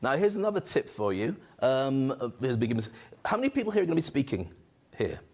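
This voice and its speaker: A man's voice: medium pitch, fast. A man hosting a conference stage and coaching on public speaking, joking and rousing the audience with a handheld microphone. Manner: jokey, animated, audience-rousing. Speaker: event host and speaking coach. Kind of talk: energetic stage presenting.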